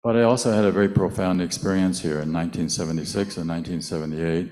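An older American man with a very deep voice talks calmly in short phrases into a handheld mic. He speaks in a large indoor hall and laughs lightly now and then. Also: brisk. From United States. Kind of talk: talk with live interpreter.

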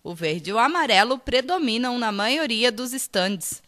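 A woman TV news reporter reading a scripted voice-over in a clear, even delivery. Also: fairly high pitch, normal speed.

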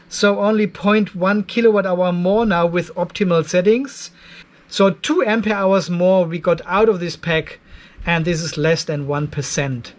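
An older man with a noticeably high voice talks through a hands-on tech demonstration. His delivery is informal and conversational, as if thinking aloud while he checks readings for viewers. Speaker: host of an off-grid battery testing channel. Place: cluttered indoor garage workshop.

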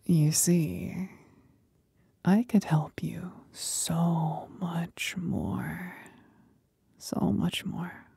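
Seductive demon for ASMR roleplay, played by a woman: husky breathy whisper, creaky low edge, manipulative and mocking. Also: little pitch movement, mellow, no room sound, clean studio sound, heavily acted. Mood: tempting. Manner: slow, breathy whispering with deliberate pauses.

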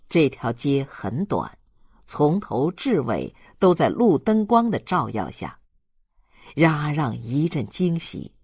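An audiobook narrator reading a literary story in a measured, dramatic storytelling manner. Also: medium pitch, slightly rough, no echo, clean studio sound.

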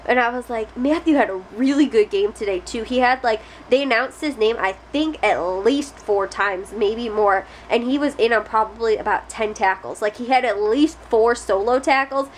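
A woman's voice: fairly high pitch, normal speed, hardly any pauses, a little hiss or hum. A young adult woman vlogging casually to a close camera in a small bedroom, rambling with lots of fillers and restarts. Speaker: family vlogger. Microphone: close camera at face height.

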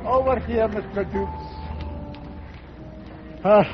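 An actor playing a calm, fair-minded, stoic ship's captain in a 1940s radio drama, understated and deliberate in command. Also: male voice, high-pitched, over music. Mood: composed. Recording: dull vintage radio recording.

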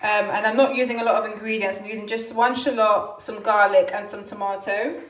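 A woman's voice: mid-range voice, fairly even intonation, a little fast. A young woman vlogging at home, chatting casually to the camera in a rambling way that often hedges and corrects itself. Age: young adult. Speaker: lifestyle vlogger. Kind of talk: casual vlog chat to camera. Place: small rooms at home, bedroom and kitchen. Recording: roomy echo of a small room.

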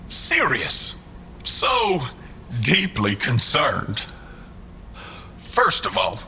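A gloating male mastermind talks down to his hirelings in an audio drama. His voice is very bright and sharp, swinging widely in pitch, with theatrical mock courtesy and faint noise behind. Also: exaggerated voice. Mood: mock apologetic. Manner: theatrical, falsely polite, chuckling condescension. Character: smug, mocking male mastermind employer as hologram. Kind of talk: scripted audio drama acting.

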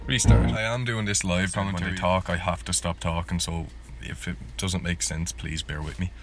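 A man with a deep voice hosts a gameplay video. He talks casually and live, poking fun at his own skills and repeating himself when flustered. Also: steady tone, a little fast, over music. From Ireland. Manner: self-deprecating, repeats words when flustered. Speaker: gaming video host.

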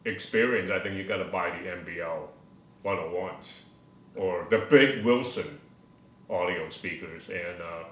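A man's voice: low-pitched, unhurried, distant mic. An older man co-hosting a casual, conversational discussion about a hobby. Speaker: co-host of an audio hobby show. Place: living room table indoors. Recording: echoey room sound.

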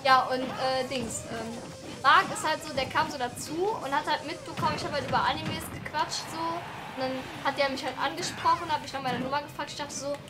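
A young woman with a high voice chats casually into a headset during a game stream, rambling along with plenty of fillers. Loud game music plays underneath her. The room is small and indoors. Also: fairly even intonation, a little fast. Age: young adult. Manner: chatty, rambling, many fillers. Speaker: streamer's girlfriend, co-host. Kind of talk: casual chat while gaming.

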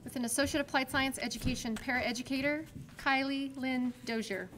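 A woman formally reading out graduate names at a commencement, slow and measured. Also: high voice, fairly even intonation, noisy background. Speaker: commencement name reader. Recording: with music in the background.

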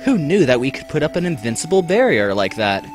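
Man playing a lively, glib young adventurer. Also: on the high side, a little fast, soft background music. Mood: puzzled, sarcastic. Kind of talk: abridged parody cartoon dub.

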